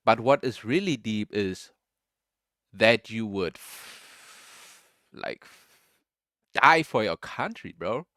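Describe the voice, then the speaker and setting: A man's voice: on the low side, expressive, slow pace, long pauses, sharp tone, no room sound, studio quality. A young man from Germany talking casually to camera in a loose, rambling way, peppering his talk with bro. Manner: slangy, loose, says bro often. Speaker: vlogger.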